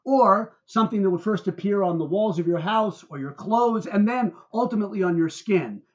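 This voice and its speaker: A man's voice: high voice, dull audio. A man speaking as a rabbi in a sermon-like religious talk, emphatic and heated, stacking up adjectives with dramatic stress.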